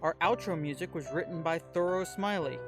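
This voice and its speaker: Male announcer for scripted credits and promos, fairly high voice, clear music bed underneath. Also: a little fast. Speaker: announcer reading podcast credits. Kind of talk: scripted narration, promotional outro.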